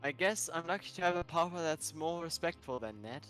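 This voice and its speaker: Man voicing insecure young visual novel animal character, melancholy, plaintive, hesitant, higher-pitched, music behind. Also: animated, brisk, fairly bright tone. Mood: shy, gentle.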